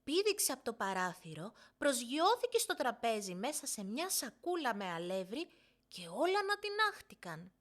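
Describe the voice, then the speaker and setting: Woman acting a high-pitched, bossy little big sister. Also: big pitch swings, a bit bright. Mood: excited fibbing. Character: quick-witted, bossy young big sister telling fibs.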